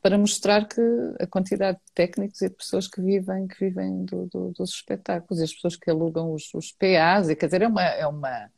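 Mellow low-voiced Portuguese woman. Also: fairly even intonation, a little fast, vocal fry, dry recording. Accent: European Portuguese. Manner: hesitant, repeats words, many restarts. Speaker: singer and guest. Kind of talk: casual conversation.

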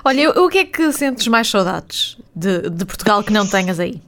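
A woman interviewing in a relaxed conversational way, asking short, friendly questions. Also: mid-range voice, normal speed, slight background noise.

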